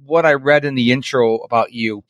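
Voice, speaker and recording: A man's voice: mid-range voice, normal speed, fairly bright tone, dry recording, poor audio. A middle-aged man hosting a podcast interview, chatty and self-deprecating, telling personal stories. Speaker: podcast host. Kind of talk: casual interview chat.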